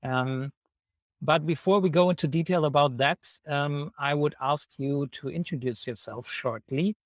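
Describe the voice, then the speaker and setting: Halting young man hosting, dry sound. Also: fairly high pitch, no echo. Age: young adult. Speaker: host, volunteer coordinator. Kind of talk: hosting an online panel interview. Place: indoor room, video call. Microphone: wired earphones on video call.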